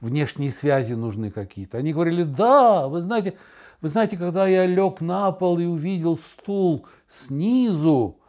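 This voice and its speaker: A middle-aged man with a fairly high voice talks casually as a podcast co-host. He is sardonic and theatrical, slipping into imagined remarks as he tells a story. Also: unhurried, a little mellow.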